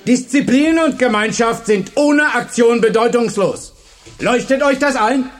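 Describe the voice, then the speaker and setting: A man's voice: very high voice, measured pace, soft background music. An actor playing a commanding teacher who rallies his class with fervent rhetoric in a radio drama. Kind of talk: German radio drama acting, rallying speech.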